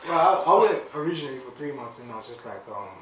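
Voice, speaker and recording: A man's voice: medium pitch, fast talker, crowd noise. A young man from Zimbabwe, a clothing brand founder, chatting in a sit-down interview with plenty of fillers and restarts. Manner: animated, many fillers and restarts. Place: indoor room, seated on a sofa. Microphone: far camera mic. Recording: echoey room, voice from a distance.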